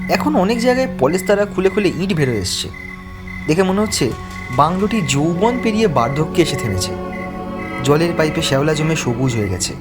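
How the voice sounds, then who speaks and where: A man narrating a first-person horror story from a script. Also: a little high. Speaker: narrator of an animated ghost story.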